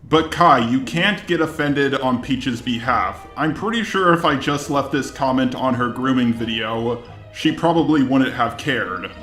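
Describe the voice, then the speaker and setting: A man's voice: medium pitch, animated, unhurried, a bit bright, echoey room, soft background music. A synthetic, robotic man's voice delivering an argumentative, scripted rebuttal as a commentary video host.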